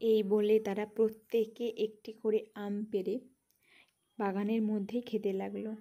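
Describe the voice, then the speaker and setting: A woman's voice: medium pitch, flat delivery, normal speed, warm tone. A woman reading scripted narration for a children's cartoon story.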